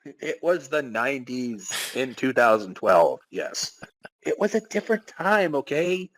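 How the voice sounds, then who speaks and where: A man's voice: medium pitch, big pitch swings, unhurried, no echo, low-quality recording. A man hosting and narrating a comic dramatic reading of a game story. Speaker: podcast host and narrator.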